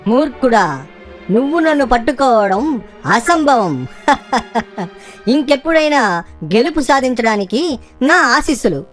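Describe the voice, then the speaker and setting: A clever, cheeky monkey from an animal cartoon. The voice is fairly high and very lively, over music. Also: female voice, slow pace, pauses often, heavily acted. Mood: mocking. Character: clever, cheeky monkey who feigns friendliness. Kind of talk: children's cartoon story acting.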